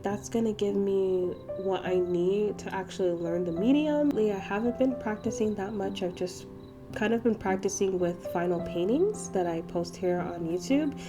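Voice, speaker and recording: A young woman, stuffy and a bit nasal from allergies, gives a soft, rambling voiceover about her painting process. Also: medium pitch, moderate pace. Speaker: art channel host. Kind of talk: voiceover narration of a painting session. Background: background music.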